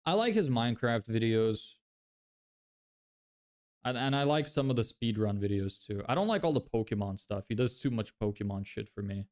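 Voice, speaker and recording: A man's voice: mid-range voice, expressive, moderate pace, a little mellow. Young man, Lithuanian first language, casual streamer answering chat, mid-range voice, loose and rambling. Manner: rambling, many fillers and restarts. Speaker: livestreamer reading chat.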